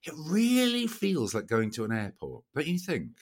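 A man co-hosting a podcast in rambling, jokey chat, his voice a little croaky. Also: fairly high pitch, huge pitch swings, moderate pace. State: slightly croaky voice. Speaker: podcast co-host. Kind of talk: casual comic chat.

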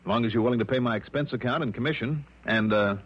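An American actor playing a breezy, wisecracking insurance investigator in early 1960s radio drama, wry and casual. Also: male voice, medium pitch, breathy edge, dull audio, lightly acted. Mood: breezy, bantering. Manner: wry, brisk, casual banter. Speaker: lead actor and narrator of a radio detective series. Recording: band-limited vintage radio recording, music behind.

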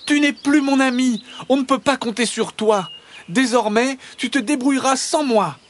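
A man's voice: on the high side, expressive, unhurried, slight background noise, pitched above the performer's own voice. A man voicing a clever, exasperated but kind-hearted hedgehog in a children's audio storybook, speaking emphatically. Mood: indignant. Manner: emphatic, scolding.

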